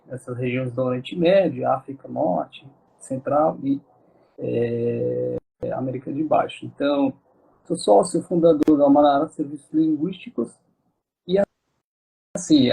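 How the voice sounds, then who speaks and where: A man's voice: medium pitch, creaky, warm tone, slightly rough, rough recording. A Moroccan man speaking with a Moroccan accent, an interview guest talking casually with many fillers and restarts.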